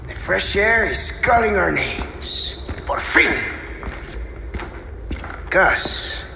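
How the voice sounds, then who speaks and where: An actor playing a cheerful, teasing Spanish rogue in a video game, with a Spanish accent and playful delivery. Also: male voice, high voice, extremely slow, creaky, echoey room, in a large hall, background noise, exaggerated voice. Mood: playful, relieved. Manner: playful, animated. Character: cheerful, breezy roguish Spanish man, teasing companion. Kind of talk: video game cutscene acting.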